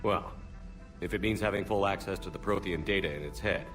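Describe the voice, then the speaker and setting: Actor as a terse, firm space commander, very deep voice, music under. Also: male voice, fairly quick, close to an everyday voice. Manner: terse, firm, low-key. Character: hard-edged male starship commander. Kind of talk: video game voice acting. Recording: game cutscene with music.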